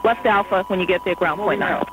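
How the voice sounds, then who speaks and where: A woman air traffic controller in an airport tower, giving quick, polite instructions to pilots over the radio. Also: on the low side. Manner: brisk, polite and apologetic. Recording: noisy radio transmission with hum.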